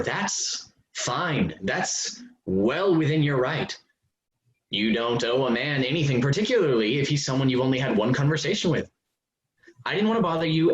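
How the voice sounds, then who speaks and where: A man playing a pushy, entitled young man who wheedles and justifies himself with menacing calm in a staged play reading. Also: mid-range voice, close to an everyday voice. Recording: dull, roomy, noisy video-call audio with clicks.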